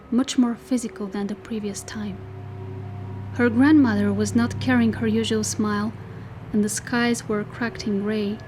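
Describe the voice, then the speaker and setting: A woman narrating a dystopian tale as a solemn storyteller, in measured, scripted literary style. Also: on the high side, close to an everyday voice. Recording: music behind the voice. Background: music.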